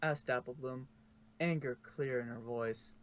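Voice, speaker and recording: A man's voice: medium pitch, fairly even intonation, a little slow, slight background noise. A man reading scripted fan fiction narration, sometimes stumbling and correcting himself.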